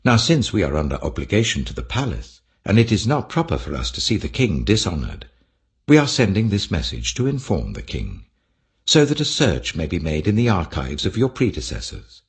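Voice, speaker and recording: Ancient court official for scripture audiobook, read by a man: British, deep, formal, insistent, dramatically inflected. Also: huge pitch swings, normal speed, exaggerated voice. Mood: urgent warning. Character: formal Persian officials earnestly warning their king.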